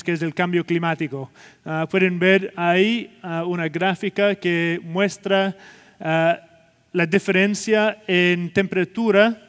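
A man's voice: high voice, big-room sound. A man in his forties from Scotland with a Scottish accent over Chilean-learned Spanish, giving a conference keynote on a large stage, hesitant with many fillers. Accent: Scottish, speaking Spanish learned in Chile. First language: English. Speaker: keynote speaker.

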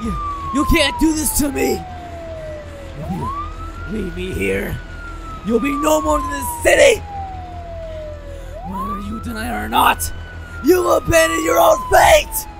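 An enraged teenage villain performed by a man shrieks in melodramatic comic dub style. The voice is extremely high and cracks with gravel, with music and sirens behind. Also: wildly swooping pitch, a little slow, full character voice. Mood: furious, desperate. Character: enraged, betrayed teen boy villain screaming.

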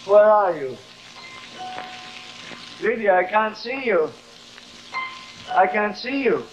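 An actor playing a proud, guilt-ridden middle-aged thespian in theatrical, halting 1950s television drama style. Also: male voice, high-pitched, animated, lo-fi sound, soft background music. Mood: frightened, desperate. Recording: noisy old 1950s television soundtrack.

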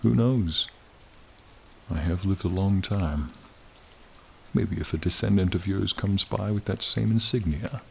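A man voicing a charming, friendly ancient dragon in a soothing, formal, gently teasing ASMR roleplay. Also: low-pitched, vocal fry, slight background noise. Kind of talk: ASMR roleplay, slow intimate monologue. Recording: rain and cave ambience behind.

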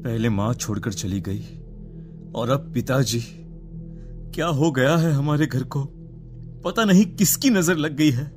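A man voicing a concerned young man of an Indian household in an animated horror story, with music underneath. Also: mid-range voice, unhurried, long pauses, natural voice. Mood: grieving.

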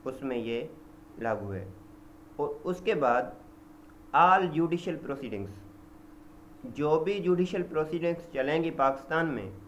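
A young man with a Pakistani accent gives an informal lecture, halting and explanatory, restating points simply and mixing in English terms. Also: a little high. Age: young adult. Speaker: law lecturer. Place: small indoor office. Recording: dull lo-fi audio with background noise.

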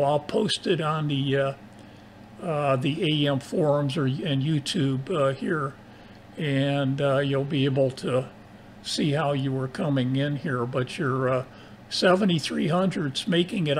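A man in his sixties with an American accent chatting casually as a radio operator, in halting, measured phrases over a noisy recording. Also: a little high. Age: 60s. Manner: halting, measured delivery with spelled-out call signs. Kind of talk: casual ham radio chat.

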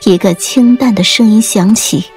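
A woman reading a dramatic audiobook story in a measured, literary storytelling style. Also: mid-range voice, darkish tone. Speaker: audiobook narrator. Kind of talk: dramatic scripted storytelling narration. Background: faint music.

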